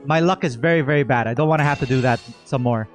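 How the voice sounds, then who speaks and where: A man giving a casual, expressive livestream reaction to a game, often repeating words for emphasis. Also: medium pitch, fast, soft background music. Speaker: gaming streamer.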